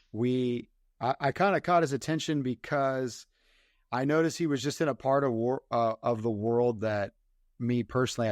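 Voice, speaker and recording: A man's voice: lowish voice, darkish tone, no echo, clean studio sound. A man hosting a podcast, asking rambling questions with lots of fillers and restarts. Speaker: podcast host. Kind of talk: casual interview.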